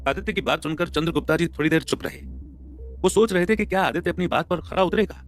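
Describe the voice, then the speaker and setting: A man's voice: a little high, expressive, fast, a little hiss or hum. A man narrating a scripted audio story as a storyteller. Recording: music bed under the voice. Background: background music.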